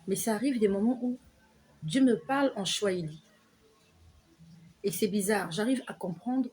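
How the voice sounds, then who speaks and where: A woman giving an earnest devotional exhortation to her viewers, repeating phrases slowly and letting her voice sink soft at the ends of lines. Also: medium pitch, a little hiss or hum, rough recording. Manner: earnest, repetitive, slow emphatic phrasing. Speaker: online preacher.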